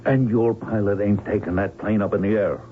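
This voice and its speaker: A man's voice: on the low side, fairly even intonation, brisk, lightly acted. An actor playing a tough, cynical hired gunman in an old radio drama, talking in blunt, folksy slang. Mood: defiant. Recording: tinny band-limited old radio recording, faint noise.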